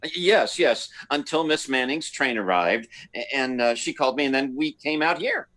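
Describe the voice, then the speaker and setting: Actor as swooping, nervous radio-mystery husband. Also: male voice, mid-range voice, expressive, fairly bright tone, close to an everyday voice. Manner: halting, stammering, theatrical. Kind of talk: old-time radio acting.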